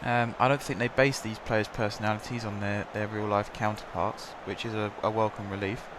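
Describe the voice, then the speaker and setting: A man's voice: on the low side, little pitch movement, brisk. Guy with a scratchy sore throat rambling over his footy game, lots of ums, noisy background. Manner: chatty, rambling, filler-heavy. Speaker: gaming commentator. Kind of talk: casual game commentary.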